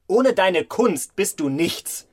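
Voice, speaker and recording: A cold young gentleman of a literary radio play. His voice is lightish and husky, in a very dry recording. He speaks with vain, cutting contempt. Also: sits high, animated, slow, no room sound, heavily acted. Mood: bitter scorn.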